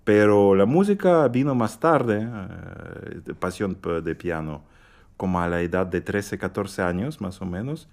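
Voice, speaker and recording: Deep-voiced man. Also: expressive, unhurried, a little mellow. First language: Russian. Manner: hesitant, searching for words, many fillers. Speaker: guest pianist. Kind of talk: halting interview answers in a second language.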